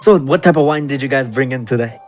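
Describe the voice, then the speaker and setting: A man's voice: medium pitch, quick pace. A man with a mid-range voice plays a tabletop roleplaying game. He banters casually, with faint music behind him.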